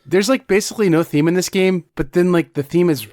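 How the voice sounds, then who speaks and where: A man's voice: high-pitched, brisk, a little mellow, dry recording. A man co-hosting a board game podcast, talking casually and rambling with plenty of restarts. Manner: rambling, many restarts and fillers. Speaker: podcast co-host. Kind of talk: casual chat.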